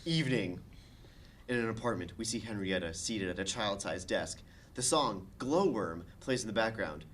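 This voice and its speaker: A man's voice: fairly low pitch, animated, moderate pace, a bit bright, a little hiss or hum. A man reading stage directions as the narrator of a comedic script table read.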